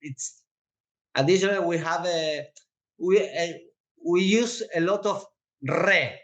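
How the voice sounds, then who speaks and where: A man with an Argentinian accent and native Spanish, chatting as a guest and explaining things haltingly as he searches for words. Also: fairly high pitch, highs cut off. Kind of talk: casual explanatory chat.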